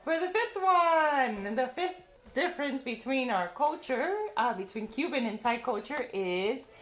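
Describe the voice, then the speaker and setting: A young woman from Cuba co-hosting a casual family video, talking in a lively, expressive way. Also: high-pitched, measured pace. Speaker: co-host of a family channel. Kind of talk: casual chat. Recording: roomy echo, faint music.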